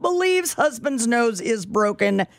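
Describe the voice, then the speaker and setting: A woman on a radio show reads out police scanner calls in a deadpan dispatcher tone. Her pitch rises and falls a lot as she moves between banter and report, and her voice sits close and dry on a studio mic. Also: unhurried, no echo. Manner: dry, matter-of-fact dispatcher delivery. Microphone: studio microphone.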